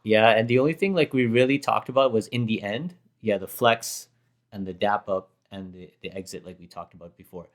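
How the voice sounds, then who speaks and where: Hoarse man telling a rambling story. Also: on the low side, fairly quick. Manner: rambling, self-correcting storyteller. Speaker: rapper reacting to his own performance. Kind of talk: casual chat.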